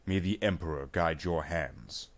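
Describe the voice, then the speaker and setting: A man's voice: very deep voice, unhurried. A man voicing a wounded, stoic space soldier sergeant in a story, solemn and commanding. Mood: solemn, weakened.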